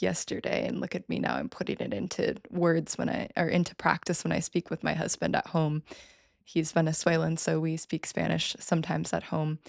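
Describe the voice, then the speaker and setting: Woman guest, gravelly and fairly monotone, chatty and keen. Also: lowish voice, no echo, studio quality. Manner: enthusiastic, many fillers and restarts. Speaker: podcast guest. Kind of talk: casual interview talk.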